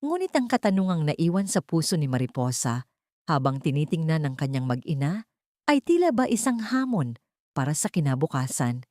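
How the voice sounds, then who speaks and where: A woman with a Filipino accent reading a dramatic story as an audiobook narrator, in a solemn, measured way. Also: low-pitched, darkish tone, no echo, clean studio sound.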